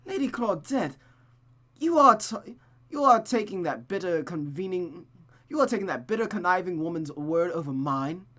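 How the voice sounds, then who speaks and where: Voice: medium pitch, animated, normal speed, sharp tone, pitched above the performer's own voice. Narrador fazendo noivo exasperado e cansado. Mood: irritated. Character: exasperated, weary man arguing with his fiancée.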